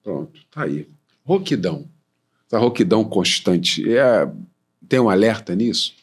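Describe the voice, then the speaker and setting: A man's voice: on the low side, expressive, measured pace, husky. A man with a Brazilian Portuguese accent hosting a podcast, asking questions and chatting casually with his guest. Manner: conversational, affirming, asks questions. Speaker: podcast host. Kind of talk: casual interview chat.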